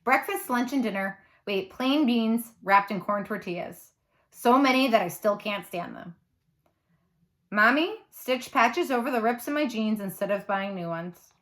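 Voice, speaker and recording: Higher-voiced woman reading a children's novel. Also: lively intonation, measured pace, fairly bright tone. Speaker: teacher reading aloud. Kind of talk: children's novel read-aloud, expressive narration.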